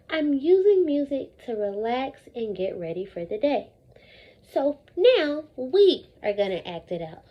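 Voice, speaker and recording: A woman teacher leading an interactive lesson for young children, speaking in an animated, repetitive call-and-response way with deliberate emphasis. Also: high voice, dull audio. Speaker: elementary school teacher.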